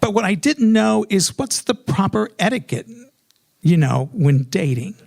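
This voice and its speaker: A man's voice: sits high, very animated, measured pace, vocal fry, a little mellow. A middle-aged American man telling a personal story in a comedic, self-mocking way, animatedly acting out remembered dialogue.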